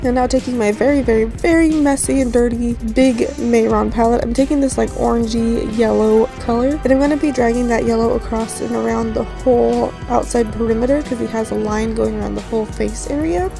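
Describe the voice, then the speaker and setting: A woman with a raspy, slightly hoarse just-woken voice, giving a casual, rambling voice-over tutorial as host. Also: on the high side, fairly even intonation, fairly quick, few pauses. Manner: rambling, informal. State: just woke up, morning hoarseness. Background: background music.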